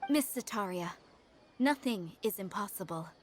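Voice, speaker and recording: A woman's voice: mid-range voice, expressive, moderate pace, noisy background, lightly acted. Actress as a composed, solemn mercenary guard woman. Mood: ominous. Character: composed guard woman turning ominous cultist preacher. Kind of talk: anime video game voice acting.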